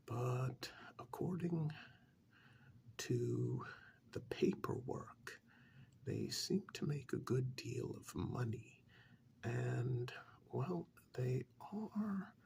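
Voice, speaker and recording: A man playing a polite, fussy official in a soft-spoken ASMR role-play, whispering in a formal, hesitant, courteous way. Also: medium pitch, exaggerated voice. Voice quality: soft, breathy whisper with gravelly edge. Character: polite, fussy, methodical male tax official auditing non-human clients.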